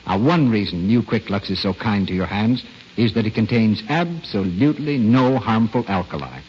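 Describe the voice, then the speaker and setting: Lively American radio announcer man, swooping delivery. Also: lowish voice, normal speed. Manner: polished, persuasive, emphatic delivery. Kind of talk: 1940s radio commercial and announcing. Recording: dull, noisy old radio broadcast.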